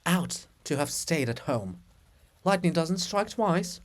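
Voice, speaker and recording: A man's voice: on the high side, lively intonation, slow pace. A man voicing a flustered, complaining monument of a scholar, out of breath, in a theatrical reading. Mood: flustered, grieving.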